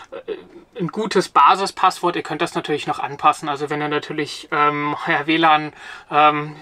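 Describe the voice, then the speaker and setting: A man's voice: on the high side, sharp tone, dry recording. A man in his twenties explaining a product to the camera from inside a parked camper van, hesitant, with many filler sounds and restarts. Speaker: company product presenter.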